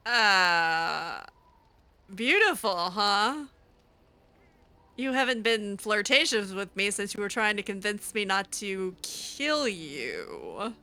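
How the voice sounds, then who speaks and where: A woman's voice: medium pitch, big pitch swings, slow pace, pushed and bright. A woman improvising in character over a video game, conversational and hesitant, often trailing off. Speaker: roleplay game streamer.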